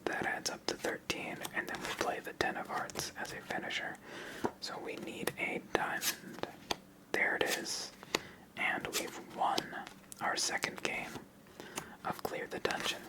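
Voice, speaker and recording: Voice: unhurried, low-quality recording. An ASMR host speaks entirely in a whisper. The delivery is a methodical card game walkthrough, explaining each move step by step.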